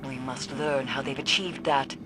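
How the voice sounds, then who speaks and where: An actress playing a grim, haunted woman mystic in a video game, speaking in a solemn, deliberate, cryptic way, with dramatic delivery. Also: a bass voice, fairly even intonation, noisy background. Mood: solemn, intrigued. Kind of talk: dramatic scripted game dialogue.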